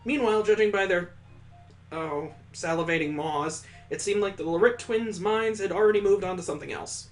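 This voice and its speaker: Man, higher-pitched, let's play host, theatrical narration, wry asides, faint music behind. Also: normal speed. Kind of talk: expressive read-aloud of a visual novel with asides.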